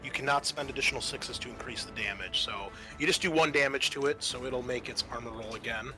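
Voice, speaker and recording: A man acts as game master at a tabletop game, with a bright, forward voice at a middling pitch. He narrates casually and hesitantly, pausing between phrases and switching into reading rules. Faint music plays behind him. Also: poor audio.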